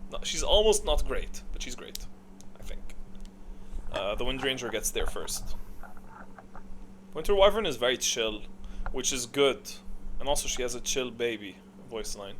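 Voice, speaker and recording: A man's voice: medium pitch, expressive, normal speed, long pauses, fairly bright tone, poor audio. A man giving casual, rambling tier-list commentary, thinking aloud and often answering his own questions. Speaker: video creator ranking game voice lines. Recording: clear background noise.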